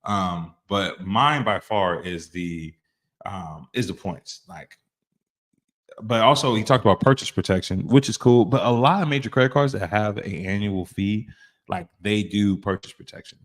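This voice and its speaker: A man's voice: fairly low pitch, moderate pace. A man hosting a casual reaction chat, laid-back and rambling, with lots of fillers. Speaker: host.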